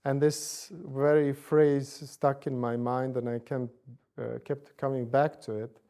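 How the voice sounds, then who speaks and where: Gravelly man, measured. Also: mid-range voice, flat delivery, dark tone. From Bosnia and Herzegovina. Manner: measured, with hedges and fillers. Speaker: interviewee, church commission director. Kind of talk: interview answers.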